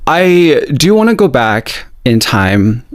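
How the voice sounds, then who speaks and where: A man hosts a podcast with sassy energy, quick and punchy in his delivery. His voice sits close and dry on the mic with no room sound. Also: mid-range voice, sing-song, no room sound. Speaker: podcast host. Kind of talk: casual interview chat.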